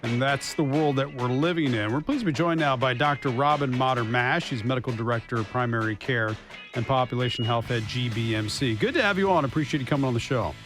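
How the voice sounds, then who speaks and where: A man's voice: mid-range voice, a little fast, a bit bright, faint music behind. A man hosting a broadcast interview, asking questions in a conversational way. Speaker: radio show host interviewer.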